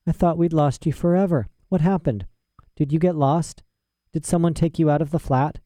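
A man voicing a grown woman who speaks softly and sweetly, soothing and coaxing a child. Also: on the high side, normal speed, no echo, studio quality, voice pushed up, lightly acted. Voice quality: soft, murmuring. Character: gentle-seeming, falsely sweet grown woman.

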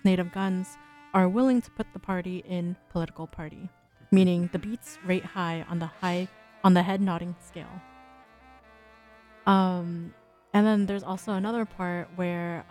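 A woman's voice: lowish voice, darkish tone. A young woman hosting a college radio show, talking casually between songs with lots of ums and little laughs. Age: young adult. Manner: chatty, frequent ums, giggles often.

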